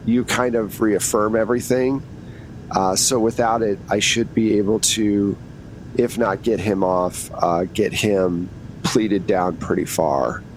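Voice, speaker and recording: A man's voice: on the low side, unhurried, close to an everyday voice, rough recording. A man voicing an easygoing, wry jailhouse lawyer in casual, frank tones for an improvised tabletop roleplay. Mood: practical. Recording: steady background hum and noise.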